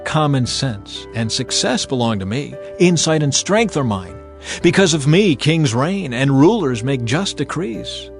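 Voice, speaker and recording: A man's voice: medium pitch, very animated, unhurried, vocal fry. A man voicing Wisdom, an ancient, commanding female spirit, in an earnest, declamatory dramatized scripture reading. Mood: proud, exalted. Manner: declamatory, proclaiming to a crowd. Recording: music behind the voice. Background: music.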